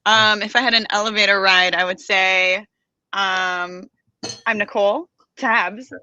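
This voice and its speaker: An American woman from Los Angeles, a guest entrepreneur speaking softly, with easy laughs and some hesitant fillers. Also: mid-range voice, steady tone, unhurried, no echo. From Los Angeles, United States. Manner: soft-spoken, laughs easily, hesitant fillers. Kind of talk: casual interview chat. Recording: dull lo-fi dry recording.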